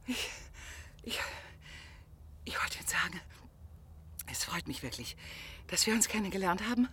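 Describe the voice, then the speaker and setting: An actress playing a pushy, lovelorn provincial woman organiser in a radio drama, effusive, rambling and melodramatic, with a husky, breathy voice. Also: fairly low pitch, expressive, moderate pace, fairly bright tone, in a whisper. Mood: pleading, flustered.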